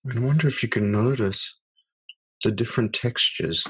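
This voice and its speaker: Male meditation guide, husky, slow and soft-spoken with long pauses. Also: medium pitch.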